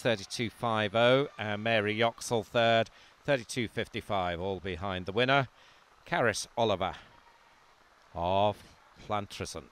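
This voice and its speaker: A man, not from Wales, giving live commentary on a swimming meet, calmly reading out lanes and times and lifting his voice during close finishes. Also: on the low side, a little hiss or hum.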